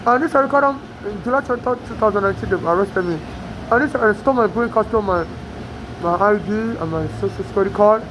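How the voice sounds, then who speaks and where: A woman's voice: mid-range voice, fast, noisy background. A woman from Nigeria, speaking American English with a trace of a Nigerian accent, tells her story in a rambling, aggrieved monologue with many restarts. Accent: Nigerian-tinged American English. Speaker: immigrant telling her story. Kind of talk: personal monologue to camera.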